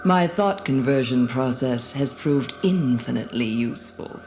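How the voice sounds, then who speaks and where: A woman's voice: rumbling low, a little mellow. A woman playing a cruel, arrogant alien commander in a dramatised storybook, imperious and scornful. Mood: gloating. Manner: imperious, gloating, deliberate. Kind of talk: dramatised storybook audio acting. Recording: music behind the voice.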